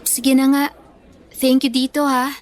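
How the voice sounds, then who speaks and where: A woman's voice: high-pitched, little pitch movement, a little slow. A woman playing a meek, bullied schoolgirl in a Filipino radio drama, speaking in an earnest, pleading way. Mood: shy, earnest. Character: meek, hurt bullied schoolgirl who loves pocketbook romances.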